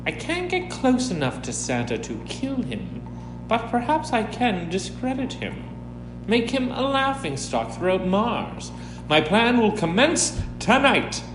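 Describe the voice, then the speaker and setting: An actor playing a pompous Martian villain scheming in a grandiose, exasperated way in a comic audio drama. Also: male voice, high voice, huge pitch swings, a little slow, heavily acted. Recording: roomy echo, prominent music underneath.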